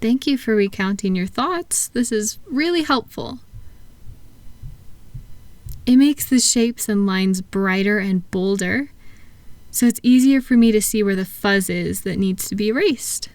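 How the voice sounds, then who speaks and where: A soft-spoken woman with a mid-pitched voice performs a soothing ASMR roleplay. She talks gently and without hurry, like a caring practitioner with a client. The sound is close and dry. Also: no room sound. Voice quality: soft, gentle, breathy at times. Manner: soothing, reassuring, unhurried, asking gentle questions. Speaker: audio roleplay performer playing a thought-calming practitioner.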